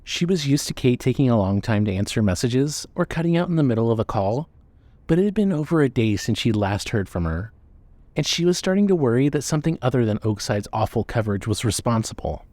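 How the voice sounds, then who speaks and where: A man's voice: mid-range voice, sing-song, brisk, darkish tone, dry recording. A man narrating a scripted story as the narrator of an audio drama.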